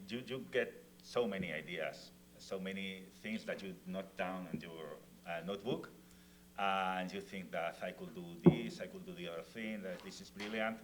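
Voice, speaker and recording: A man from Spain with a Spanish accent, speaking as a panelist to students, halting and full of fillers and restarts. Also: sits low, fairly bright tone, a little hiss or hum. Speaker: festival panelist, comics author. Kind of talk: panel talk on stage. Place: university hall, in front of students. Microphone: lavalier clip mic.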